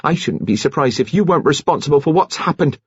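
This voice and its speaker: A man's voice: mid-range voice, very animated, normal speed, husky, dry recording, muffled recording, pitched above the performer's own voice. A man voicing a prim, moralising, indignant woman nurse in a genteel scolding manner, as an audiobook character.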